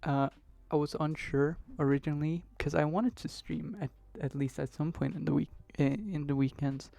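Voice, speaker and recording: A young man streaming from his bedroom at home, chatting casually and rambling a bit, his voice still hoarse from being sick. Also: fairly high pitch, fairly even intonation, normal speed, a little mellow, no room sound. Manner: rambling, chatty, laughs easily. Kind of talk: casual live-stream chat.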